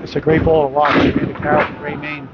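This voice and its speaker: Bowling announcer guy, kinda high voice, just reads scores n stats, loud noisy alley behind him. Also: completely flat delivery, fast talker. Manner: matter-of-fact, reading scores and stats. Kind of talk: live sports commentary. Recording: dull lo-fi sound with loud alley noise.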